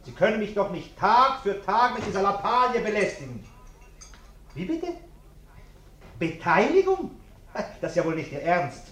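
Pompous bourgeois householder, over-polite, self-justifying, irascible, highish animated voice, radio drama. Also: male voice. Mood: indignant, blustering. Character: respectable bourgeois businessman, ingratiating host, pompous and irascible. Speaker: actor in a radio play. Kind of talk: German radio play acting.

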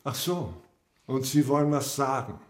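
A man's voice: medium pitch, moderate pace, roomy sound, natural voice. A man playing a menacing secret police officer in a German radio drama, mock-jovial, sneering and needling.

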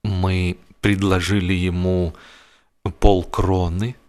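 A man reading a humorous novel as a scripted audiobook, telling it in an expressive, literary way. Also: sits low, takes it slowly. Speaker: audiobook narrator.

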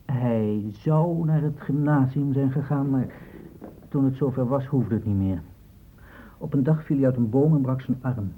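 An actor playing an informed, unsentimental doctor in a Dutch radio play, reporting in a clipped, factual way. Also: male voice, mid-range voice, a little slow, a little hiss or hum, close to an everyday voice. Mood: reporting, dry. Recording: muffled, dull old radio recording.

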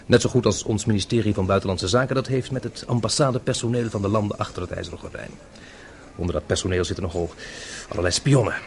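Slightly noisy old radio drama, actor doing polite confident french agent guy, formal, measured, bit hesitant. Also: lowish voice, natural voice. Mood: composed, confident. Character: courteous, self-assured French intelligence officer named Guy. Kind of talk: Dutch radio play acting. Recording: radio play with noisy background ambience.

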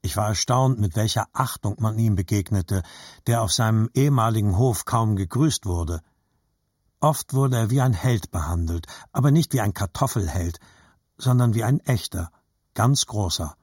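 A man narrating a scripted literary story in a measured, reflective manner. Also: fairly low pitch, darkish tone, slightly rough, dry recording, clean studio sound.

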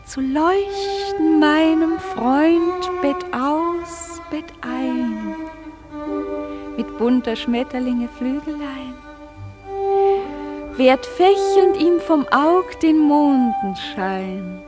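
A woman's voice: high-pitched, lively intonation, full character voice. A regal, tender fairy queen. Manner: slow, lyrical verse, at times whispered. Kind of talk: classic German radio play acting. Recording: violin music under the voice. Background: violin music.

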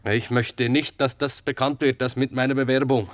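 A male actor plays a guarded working man in a radio play. He speaks at a middle pitch in short colloquial phrases and presses his points stubbornly. The sound is dry and close. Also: little pitch movement, normal speed, dry recording, close to an everyday voice. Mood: guarded, uneasy. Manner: terse, insistent, colloquial. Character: secretive, brooding working man applying for a post. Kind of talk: radio drama acting.

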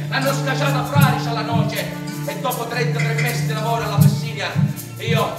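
A man performs a rustic Sicilian labourer with a high, emotional voice, declaiming his life story like a stage monologue. He sounds far from the mic in a very echoey theatre, with loud music playing behind. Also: close to an everyday voice. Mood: indignant, proud, then proud recounting. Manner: impassioned, declamatory storytelling in rustic dialectal speech. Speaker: stage actor narrating in first person. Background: loud instrumental music.

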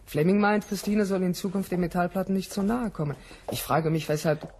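A woman acting a brisk, sceptical research scientist in a 1970 radio drama, precise and matter-of-fact, in standard German. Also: lowish voice, darkish tone, slight background noise, close to an everyday voice. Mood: clinical, sceptical.

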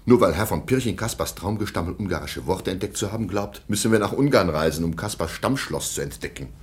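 An actor playing a stern, sceptical middle-aged German baron in a radio play, dry, formal and sardonic. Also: male voice, deep voice, expressive, fast. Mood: sceptical, ironic.

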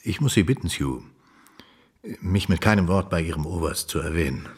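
An actor playing a composed, courteous and learned middle-aged judge in a radio drama, speaking formally and in measured phrases. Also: male voice, deep voice, husky, heavily acted. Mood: firm, discreet.

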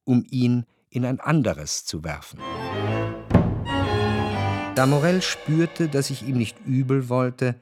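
A man narrating a literary radio drama, phrasing long, scripted sentences in a measured way. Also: medium pitch, animated, over loud music. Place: dry studio.

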